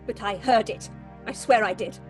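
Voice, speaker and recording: A woman playing a highly strung, superstitious theatre director in an audio horror drama, imperious and flustered. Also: moderate pace, darkish tone, soft background music, natural voice. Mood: agitated, accusing.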